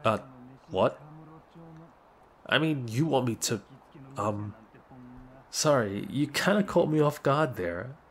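A man's voice: mid-range voice, expressive, takes it slowly, long pauses, a bit bright. A young man playing a shy, awkward, apologetic neighbor in an ASMR roleplay, speaking hesitantly. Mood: flustered, shy.

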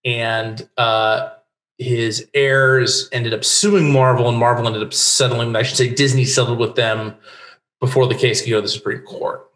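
A man's voice: medium pitch, steady tone, normal speed, a bit bright. Middle-aged American man, roomy sound. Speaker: interview guest, playwright. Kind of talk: casual explanatory interview talk. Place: home room lined with bookshelves. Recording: roomy home video call.

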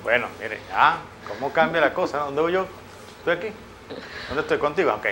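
A man in his forties with a Venezuelan Spanish accent, hosting a live morning TV show from a studio on a lapel mic, jokey and full of quick questions. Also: a little high, expressive, pushed and bright, a little hiss or hum. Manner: jokey, scattered, asks many quick questions. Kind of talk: live TV interview.

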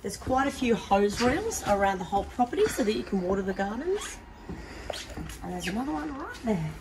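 A woman's voice: mid-range voice, very animated, brisk, background noise. A woman, walking through a house and pointing out its features in an upbeat way. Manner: upbeat, pointing things out as she walks. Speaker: prize home tour presenter. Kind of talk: walk-through house tour. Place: large empty modern house, indoors and on a deck. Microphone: handheld camera following her at a distance. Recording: echoey rooms, voice a bit far from the mic.

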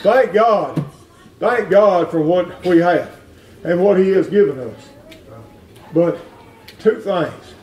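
A middle-aged man preaching a folksy revival sermon in a church, climbing into shouts. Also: high-pitched, takes it slowly, long pauses, distant mic. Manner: folksy, plain-spoken, rises to shouting. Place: wood-paneled church sanctuary. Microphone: far room camera. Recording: echoey church heard from afar.

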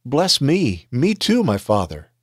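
A man's voice: mid-range voice, sing-song, moderate pace, no echo, clean studio sound. A man reading aloud the words of a grieving eldest son who pleads in a scripture reading. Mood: pleading.

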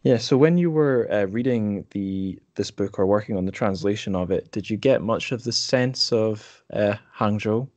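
Low-pitched man, halting delivery. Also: a little mellow, no room sound. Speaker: podcast host. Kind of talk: casual book discussion.

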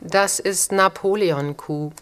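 A woman speaks a short recorded line at a slow pace. Her voice has an average female pitch and was captured in a voice booth.

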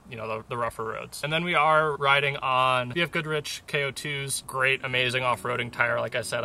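A man's voice: mid-range voice, pushed and bright, slight background noise. A young man with a North American accent giving a casual tour to camera as the owner of a van. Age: young adult. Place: in and around a camper van in the woods.

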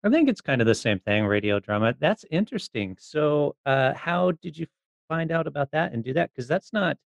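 A man's voice: on the high side, expressive, moderate pace, creaky, no room sound. A man hosting a podcast, asking questions in a casual interview style. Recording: dull, dry lo-fi audio.

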